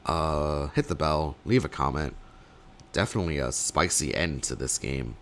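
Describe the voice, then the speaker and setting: Low-voiced male caster losing his voice. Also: normal speed, a little hiss or hum. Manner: rambling, hedging, many fillers, bursts of excitement. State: losing his voice, a little sick. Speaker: tournament caster. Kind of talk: solo play-by-play commentary.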